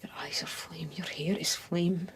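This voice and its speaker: Woman playing a husky, breathless, lovestruck suitor. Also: on the low side, unhurried. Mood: hushed, sensual. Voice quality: husky, breathy, often whispering. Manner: impassioned, in short repeated phrases. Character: lovestruck, tormented, tongue-tied poetic suitor. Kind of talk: dramatic stage monologue.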